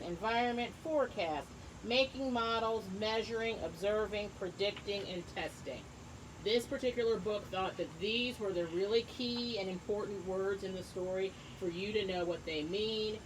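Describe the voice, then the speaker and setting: A woman teacher reading a book aloud to young children, encouraging them and pausing to ask questions and explain things simply. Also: mid-range voice, background noise. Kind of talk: read-aloud lesson with asides and questions to children.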